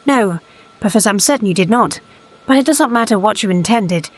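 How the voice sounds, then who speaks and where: A woman playing a wary, earnest Victorian factory hand in a gothic audio drama, speaking in a halting, urgent way. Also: medium pitch, expressive, lightly acted. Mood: grave, accusing. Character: wary, sharp-eyed working-class female factory hand, earnest.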